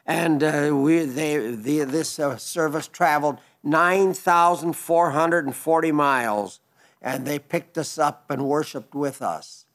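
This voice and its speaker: Ninety-six-year-old man preaching gently. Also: on the high side, slow pace. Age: 90s. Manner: gentle, rambling with restarts. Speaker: radio preacher. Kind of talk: broadcast sermon reading listener letters.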